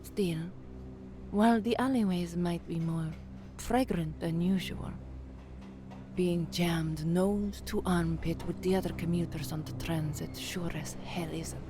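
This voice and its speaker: A woman's voice: fairly low pitch, unhurried, noisy background, natural voice. Actress as an earnest young working-class slum woman. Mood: wry narration. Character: anxious young working-class woman, trainee radio newsreader in a hive slum. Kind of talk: audio drama acting with first-person narration.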